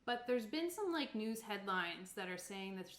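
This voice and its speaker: A woman's voice: medium pitch, sing-song, normal speed, a bit bright. A woman from Cape Breton Island in Canada, narrating a tabletop game in an informal, playful, conversational way. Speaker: game master of a tabletop roleplaying stream. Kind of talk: casual game narration and chat. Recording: echoey room.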